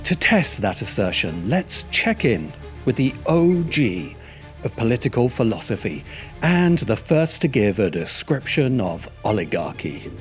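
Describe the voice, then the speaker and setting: Sardonic male video essayist, very animated delivery. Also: mid-range voice, unhurried, soft background music. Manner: sardonic, theatrical emphasis. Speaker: host and narrator of video essays. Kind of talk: scripted video essay narration.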